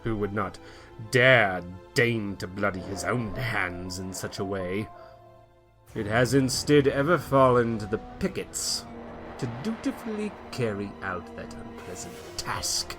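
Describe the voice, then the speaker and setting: A man reading a pompous aristocratic monologue in character, with dramatic pauses. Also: on the low side, lively intonation. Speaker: podcast host and player. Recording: music bed behind.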